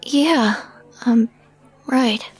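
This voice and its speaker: A woman performs an anxious young woman in a scripted roleplay. Her voice sits a little high and moves slowly, with unsure hesitations. She sounds skeptical, the reluctant companion. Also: slightly rough, full character voice, low-quality recording. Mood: uneasy, hesitant. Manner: halting, unsure, sighing. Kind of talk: scripted audio roleplay drama.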